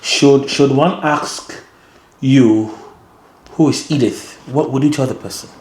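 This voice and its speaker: A man's voice: medium pitch, slow pace, a little mellow. A man hosting a conversational interview, repeating words as he phrases his questions. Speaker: interview host. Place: guest's home, indoors. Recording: roomy indoor echo.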